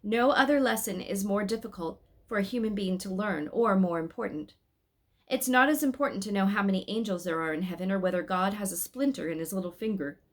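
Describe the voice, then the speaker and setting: Woman reading as a patient, measured angel guide. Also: medium pitch, close to an everyday voice. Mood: patient, instructive.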